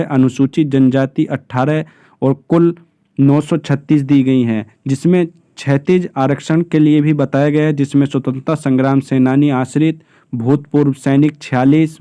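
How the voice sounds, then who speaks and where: A man presenting an informational explainer, reading official details in a measured, formal way and explaining them plainly. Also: medium pitch, warm tone, clear, resonant tone, dry recording. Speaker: exam-prep presenter explaining job notices.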